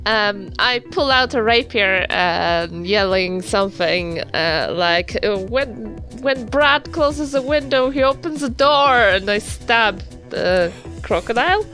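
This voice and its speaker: A woman with a New Zealand accent chatting casually as a tabletop game player, halting as she thinks aloud. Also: medium pitch, lively intonation, a bit bright, rough recording. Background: background music.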